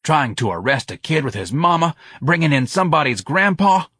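A man voicing a loud, exasperated police sergeant who scolds a junior officer. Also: on the high side, sing-song, fairly quick, slightly rough, dry recording, studio quality, pitched above the performer's own voice, heavily acted. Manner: yelling, scolding.

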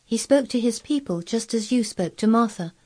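A woman's voice: on the high side, expressive, normal speed, mellow, slightly rough, pitched above the performer's own voice. A woman voicing a frank, strong-willed, earnest young girl in storybook dialogue. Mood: frank, thoughtful. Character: frank, strong-willed, curious ten-year-old girl.

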